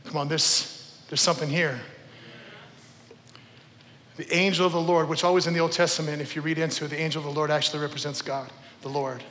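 A man's voice: on the high side, flat delivery, fairly quick, pauses often, a bit bright, slight background noise. A middle-aged man preaching informally to a congregation, telling stories in a conversational, emphatic way, repeating phrases and checking in with his listeners. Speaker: preacher, former youth pastor. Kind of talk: informal storytelling sermon to a congregation. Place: church service in a large room. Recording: reverberant hall sound.

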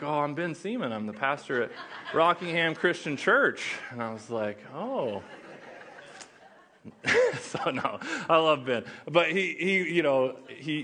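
A man's voice: mid-range voice, pushed and bright. A young man from Oklahoma with an Oklahoma accent, preaching as a guest pastor in a casual, joking way that chats with the audience. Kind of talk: sermon.

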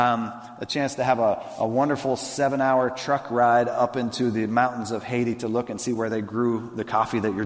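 Pastor in a reverberant hall. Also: male voice, mid-range voice. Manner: earnest, conversational, with frequent restarts. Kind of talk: sermon and onstage interview. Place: large hall with long reverb.

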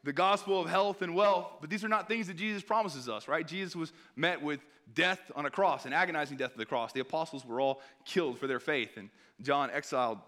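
A hoarse man preaching a sermon in an earnest tone, pausing to read scripture aloud. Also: a little high, a bit bright. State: hoarse, clearing throat.

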